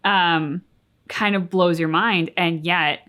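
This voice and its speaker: A woman's voice: lowish voice, steady tone, slow, a bit bright. A woman co-hosting a reaction channel, talking casually and reflectively in an earnest, articulate, emphatic way.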